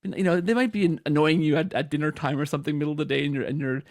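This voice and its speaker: Male podcast host, lighter pitch with creaky edges, animated conversational storytelling. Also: racing, no room sound, clean studio sound. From Canada. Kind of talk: conversational interview.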